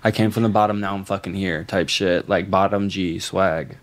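A man's voice: sits low, steady tone, moderate pace, dry recording. A man co-hosting a podcast in casual comedic banter, slangy, profane and self-mocking. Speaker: podcast co-host.

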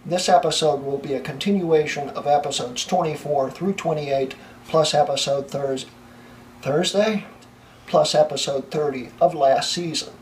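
Young male tech vlogger, a bit high-pitched, hoarse, flustered, recorded from a distance in a small computer room with a light hiss. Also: normal speed, darkish tone. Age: young adult. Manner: flustered, laughing at his own slip-ups. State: losing his voice, coughing. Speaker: tech channel host. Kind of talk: blooper reel of a tech vlog. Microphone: camera mic from a few feet away. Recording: distant camera mic, faint room hiss.

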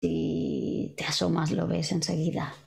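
Slow, creaky-voiced Spanish woman. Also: low voice, little pitch movement, darkish tone. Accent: Spanish (Spain). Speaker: roleplaying game player. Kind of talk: improvised in-character description at a tabletop game. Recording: faint music behind the voice.

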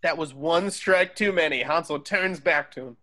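A man with a slightly high voice reads scripted stage directions. He narrates as part of an amateur table read held over a video call. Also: normal speed, sharp tone.

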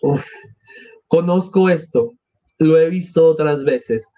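A man voicing a sober, calming male official in a storybook reading, in a measured and subdued way. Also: on the high side. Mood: grave.

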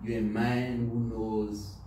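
A man from Cameroon, interviewing eagerly with quick interjections. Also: medium pitch, flat delivery, warm tone. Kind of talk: friendly interview. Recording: echoey room, distant mic, faint noise.

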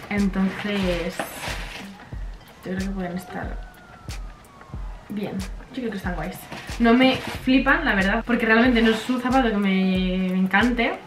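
A young woman in her twenties from Spain, chatting casually to her handheld camera in a vlog, upbeat and full of fillers, a little stuffy from allergies. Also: mid-range voice. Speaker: vlogger. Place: hotel room and outdoors in a city. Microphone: handheld camera at arm's length.

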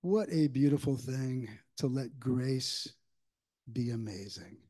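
A man in his sixties preaching a reflective, informal, conversational sermon with halting pauses into a lectern microphone in a church. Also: mid-range voice, mellow. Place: church sanctuary, at a wooden lectern. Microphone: microphone on a stand at the lectern.

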